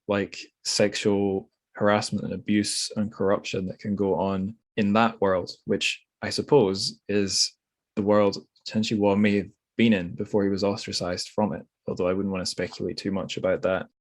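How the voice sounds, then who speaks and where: A man hosting a conversational literary interview, hesitant and rambling as he thinks aloud. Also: lowish voice. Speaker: podcast host.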